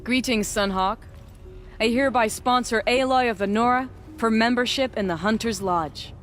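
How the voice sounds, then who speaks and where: A woman's voice: a little high, moderate pace, faint music behind, close to an everyday voice. A woman playing a confident, proud hunter and mentor in a video game cutscene, assured and challenging. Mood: proud assurance.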